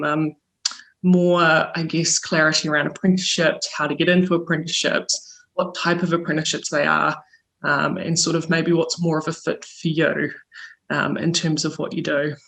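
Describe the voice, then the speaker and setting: A man with a New Zealand accent, presenting his apprenticeship scheme in a relaxed, chatty way on a video call. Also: on the high side, normal speed, gravelly, low-quality recording. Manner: relaxed, chatty, many fillers. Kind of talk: casual webinar presentation.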